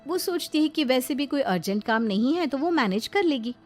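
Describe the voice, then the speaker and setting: A woman's voice: sits high, animated, a little fast. Woman, storyteller, scripted narration, moral family tale, faint background music.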